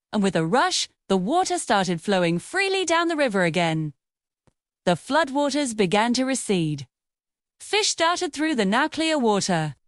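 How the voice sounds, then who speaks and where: A woman narrating a scripted children's bedtime story in an expressive, dramatic storytelling manner. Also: on the high side, no echo, clean studio sound.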